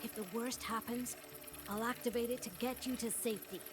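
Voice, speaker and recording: A woman playing an expressive young female guide to a dream world in a video game, speaking in an animated way. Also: on the high side, a little fast, close to an everyday voice. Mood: explaining, concerned. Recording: game music behind the voice. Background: game music.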